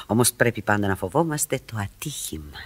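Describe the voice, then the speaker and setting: A woman's voice: extremely low pitch, big pitch swings, breathy edge, exaggerated voice. An actress playing a flirtatious, proud married society woman in Greek radio theatre, coy, teasing and theatrical. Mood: musing, dramatic.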